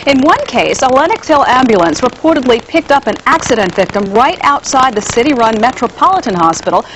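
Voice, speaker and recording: Lady reporting from a newsroom, highish voice, lots of noise on it. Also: big pitch swings, brisk. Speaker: television news reporter. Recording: noisy TV newscast tape.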